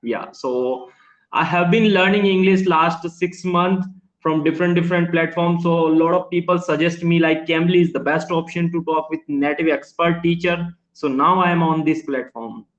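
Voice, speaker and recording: A man whose first language is Hindi talks in polite, earnest, simple English, his voice a little higher than most men's. He is on a laptop video call in an echoey room, and the sound is dull and lo-fi. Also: steady tone, normal speed. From India. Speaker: English learner. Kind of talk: casual online conversation lesson. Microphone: laptop microphone on a video call.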